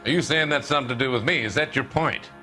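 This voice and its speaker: An actor playing a slick, self-assured middle-aged real estate tycoon in a video game, smooth-talking and condescending. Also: male voice, mid-range voice, fast talker, sharp tone, soft background music, natural voice. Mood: defiant. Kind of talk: dramatic video game acting.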